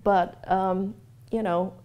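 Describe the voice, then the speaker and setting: A woman's voice: mid-range voice, steady tone, slow, a little mellow, smooth. A woman giving a reflective documentary interview about herself, speaking in a thoughtful, articulate way. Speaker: artist.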